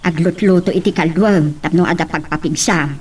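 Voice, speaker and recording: A woman's voice: lowish voice, moderate pace, darkish tone. A woman playing a fretful, exclaiming mother of a grown son, with a Filipino accent, in an audio drama. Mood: fussing. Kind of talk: Ilocano radio-style drama acting. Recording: clear background noise.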